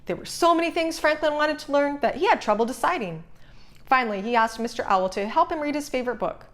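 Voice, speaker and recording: A woman reading a children's storybook aloud as narrator, expressive and suited to young listeners. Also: sits high, fast.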